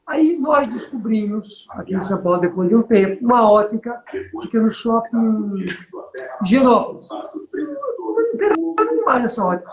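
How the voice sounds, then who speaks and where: Northeastern brazilian guy mentoring business folks, storytelling, keeps asking if u get it, echoey room. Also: very high voice. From Bahia, Brazil. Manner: storytelling, asks listener for agreement. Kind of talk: conversational business coaching.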